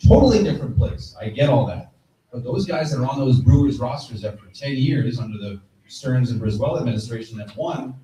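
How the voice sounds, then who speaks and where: A man speaking as a sports panelist in a lively live discussion, emphatic and repeating phrases for emphasis. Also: mid-range voice, slight background noise. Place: live convention panel stage. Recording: very echoey room, distant from mic.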